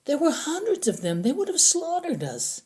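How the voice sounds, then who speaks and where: High-pitched male captive, narrator's put-on voice, scared, pleading, groggy scavenger, audiobook drama, soft dark tone. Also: wildly swooping pitch, moderate pace, exaggerated voice. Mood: anxious explaining. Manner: groggy, pleading, explaining himself anxiously. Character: captured male scavenger, dazed, frightened and pleading. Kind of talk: audiobook character acting.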